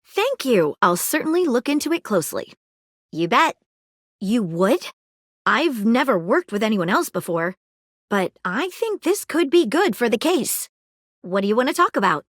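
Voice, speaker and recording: A woman's voice: on the high side, very animated, moderate pace, no room sound, clean studio sound. A woman voicing an eager, inquisitive young female detective in a video game, earnest and full of facts. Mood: chatty, curious. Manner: earnest, inquisitive, fact-loving. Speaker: voice actor. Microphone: studio mic, dry booth.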